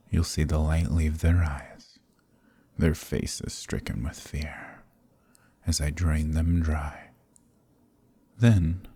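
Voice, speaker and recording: Sadistic vampire lord, ASMR roleplay: possessive, silky menace, extremely breathy and husky, dark-toned. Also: male voice, very deep voice, full character voice. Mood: menacing, coaxing. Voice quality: breathy, gravelly. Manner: slow, menacing, mockingly tender purr. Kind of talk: ASMR roleplay audio drama.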